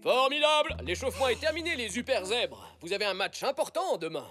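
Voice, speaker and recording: A man's voice: extremely high pitch, very animated, fairly quick, over loud music. An actor, a man, playing an enthusiastic zebra sports coach in a children's cartoon, emphatic and encouraging. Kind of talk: animated cartoon acting.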